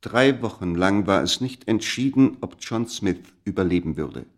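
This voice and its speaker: Serious storyteller for a radio play, really low voice, measured scripted narration. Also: male voice, animated. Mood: measured, sombre. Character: grave, measured male storyteller of a mystery tale.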